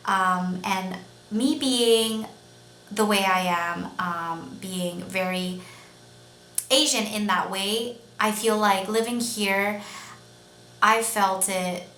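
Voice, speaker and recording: A young woman with a Canadian accent chats unscripted to her viewers in a bright, clear-edged voice. She rambles, pausing and searching for words as she goes. The recording has noticeable room echo and a faint layer of noise. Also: mid-range voice. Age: young adult. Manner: rambling, hesitant, with many pauses. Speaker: vlogger. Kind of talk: casual, unscripted talk to camera.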